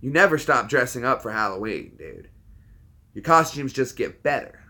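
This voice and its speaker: A man in his thirties hosts a casual livestream and talks to his viewers in a rambling, easygoing way, dropping "dude" and "man" often. His voice sits in an ordinary middle pitch. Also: expressive, moderate pace, sharp tone. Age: 30s. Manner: laid-back, rambling, says dude and man a lot. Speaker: livestream host.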